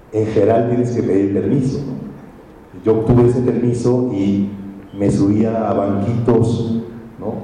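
A man's voice: on the low side, dark tone, slight background noise. A man giving a conference talk to an audience, telling stories in a reflective way and often ending with a questioning "right?". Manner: reflective, storytelling, frequent tag questions. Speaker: journalist and speaker. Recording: echoey hall from far off.

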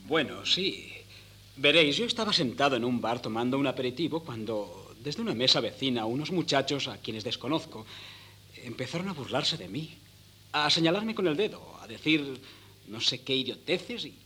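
An actor playing a pedantic, bookish teenage son in 1970s radio theatre, speaking in formal, precise diction. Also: male voice, medium pitch, expressive, moderate pace, sharp tone, a little hiss or hum. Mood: matter-of-fact.

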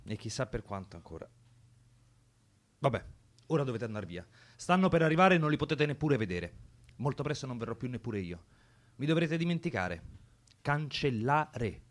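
An actor playing a guarded, quietly melancholy young militant man, terse and subdued, in a radio drama. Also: medium pitch, big pitch swings, moderate pace, long pauses, close to an everyday voice. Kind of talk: naturalistic radio drama dialogue.

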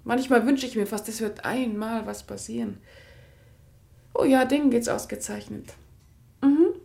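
A woman's voice: a little high, measured pace, creaky, dark tone. An actress playing a chatty, obliging married country lady in a German radio play, effusive and polite. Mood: eager, chatty.

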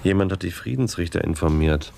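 A man's voice: sits low, brisk, creaky, a little mellow, a little hiss or hum. A man playing an insinuating police inspector in a radio play, needling and probing. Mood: sly, pressuring. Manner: needling, leading questions. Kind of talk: German radio play acting.

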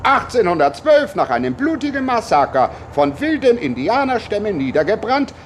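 Fussy tour guide, very high swooping voice, over-polite, declamatory, radio comedy, street noise behind. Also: male voice. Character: fussy, obsequious, flustered male city tour guide. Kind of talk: satirical radio play acting.

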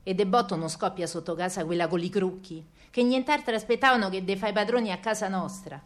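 An actress playing a stubborn young working-class wife with a Roman accent, telling wartime memories in a colloquial, earthy and wry theatrical monologue. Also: female voice, mid-range voice, fairly quick, natural voice. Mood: wry, resigned.

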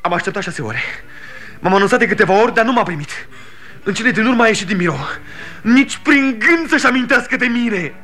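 Young grown son, urgent and pleading; bright, raised voice. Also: male voice, sits high, lively intonation, soft background music. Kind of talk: 1960s radio drama acting.

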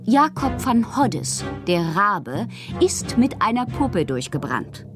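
A woman playing a moody, fanciful bohemian poet in a radio drama, reading her confessional letters aloud with expressive, theatrical, poetic phrasing. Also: mid-range voice, slow pace, over music. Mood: wry, mischievous.

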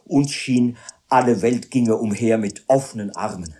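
An actor playing a brooding male private tutor who tells of revolution with passion, in a literary radio drama, his voice husky. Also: mid-range voice, a little slow, warm tone. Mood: impassioned.

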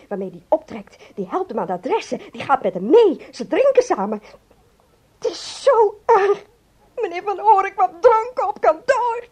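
Shrill, distraught prim secretary. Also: female voice, a little mellow. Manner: agitated, halting, repeating words in outrage. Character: distraught, prim young female office secretary, indignant and accusing. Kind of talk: Dutch radio play acting.

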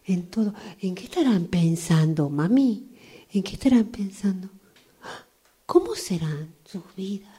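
Slow, breathy, dreamy woman acted out by a woman. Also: mid-range voice, big pitch swings, dark tone. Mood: dreamy, nostalgic. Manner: theatrical, drawn-out, echoing her own phrases. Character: dreamy, wistful woman waiting for a train. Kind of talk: radio drama monologue.

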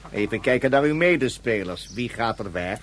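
An actor playing a pompous ceremonial game master in a radio play, with formal, theatrical proclamations. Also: male voice, mid-range voice, animated, moderate pace, a little hiss or hum, close to an everyday voice. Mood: stern, impatient. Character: pompous ceremonial game master addressing a ruling card champion.

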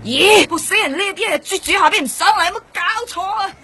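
An actor playing a brash, sarcastic, grumbling police detective with a raspy voice, speaking Hong Kong Cantonese in a radio drama. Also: male voice, very high voice, fast talker, music in the background. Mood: annoyed.

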